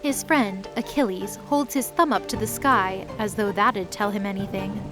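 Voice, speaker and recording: A woman narrating an audio drama in a measured storytelling voice. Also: medium pitch, steady tone. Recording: narration over loud music.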